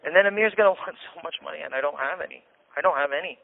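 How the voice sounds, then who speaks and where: A man's voice: a little high, very fast, gravelly. American man on a tinny phone line. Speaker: jail inmate on a phone call. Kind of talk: casual, venting phone conversation. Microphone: telephone handset. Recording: tinny, band-limited jail phone line.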